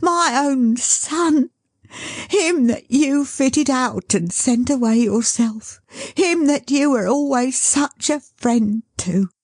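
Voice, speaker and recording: A woman's voice: sits high, takes it slowly, darkish tone, no room sound, clean studio sound, voice pushed up. A woman reading the part of an old father who talks with eager, exultant pride. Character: old toymaker father, overjoyed.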